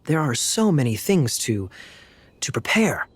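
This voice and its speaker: A man voicing a suave, teasing knight officer in a video game, smooth and courteous. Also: medium pitch, big pitch swings, measured pace, no echo. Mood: sly. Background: game soundtrack music.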